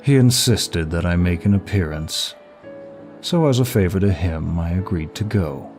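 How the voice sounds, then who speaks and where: A man playing a suave, seductive demon of lust in an intimate audio roleplay, flattering the listener in a formal, flirtatious manner. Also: low-pitched. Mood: charming, confiding. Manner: smooth, flirtatious, formal, unhurried. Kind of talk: intimate ASMR-style roleplay, one side of a dialogue. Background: music.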